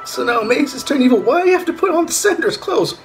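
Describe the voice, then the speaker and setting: A man in his thirties reacts in a casual, incredulous way. His voice sits high and moves around a lot, with music playing clearly behind him. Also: very animated, fast talker. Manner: exclamatory, incredulous. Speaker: reaction video host. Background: soundtrack of the watched video.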